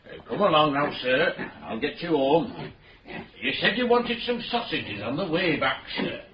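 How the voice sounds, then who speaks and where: A man's voice: on the high side, very animated, unhurried, echoey room, a crowd in the background, poor audio. An actor playing a dutiful, patient male police sergeant in a radio drama, who speaks in a soothing, deferential way. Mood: coaxing.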